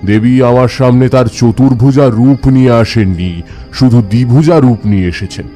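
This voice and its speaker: Horror audio story lead: actor as devout tantric man, warm dark timbre, intense first-person narration, music bed. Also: mid-range voice, moderate pace, natural voice. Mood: awed, suspenseful. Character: devout male tantric ascetic recounting his eerie encounters. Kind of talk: dramatic scripted horror narration.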